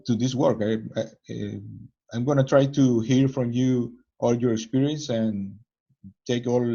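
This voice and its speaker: Slow halting man with Venezuelan accent. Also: medium pitch, warm tone, slightly rough, muffled recording. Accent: Venezuelan Spanish. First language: Spanish. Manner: halting, searching for words. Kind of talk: casual self-introduction. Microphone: video call.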